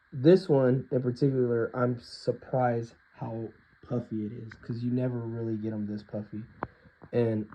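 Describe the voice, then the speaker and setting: A hoarse man talking informally and with strong opinions in an unscripted collector's review, often clearing his throat. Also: medium pitch, lo-fi sound. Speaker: hoodie collector reviewing products. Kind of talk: casual unscripted compare-and-contrast review.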